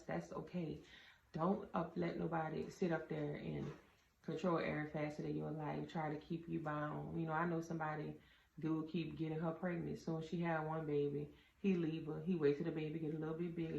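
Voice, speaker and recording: A woman with a deep voice gives casual, straight-talking relationship advice to her viewers, calling them y'all with earnest conviction. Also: vocal fry, darkish tone. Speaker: vlogger giving relationship advice. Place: indoor room with a door. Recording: roomy, slightly dull, faint ambient hum.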